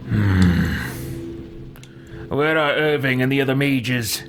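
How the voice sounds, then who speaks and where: Guy doing a pompous impatient fantasy hero for a game, theatrical, takes his time, pitch jumps all over. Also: animated, slow pace. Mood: cool questioning. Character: haughty, impatient male fantasy adventurer. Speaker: gameplay roleplayer. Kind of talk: in-character video game roleplay.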